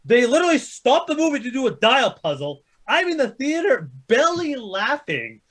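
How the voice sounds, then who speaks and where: A man's voice: very high voice, big pitch swings, normal speed, fairly bright tone. A man chatting casually about movies as a panelist, blunt and opinionated, swearing heavily as he rants. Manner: heavily profane, blunt, ranting. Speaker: panelist ranking films on a chat show.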